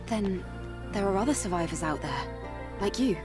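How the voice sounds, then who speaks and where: A woman's voice: mid-range voice, animated, moderate pace, natural voice. Lady voicing a reserved dutiful soldier girl in a video game, serious, bit far from the mic, music under it. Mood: curious. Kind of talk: video game cutscene voice acting.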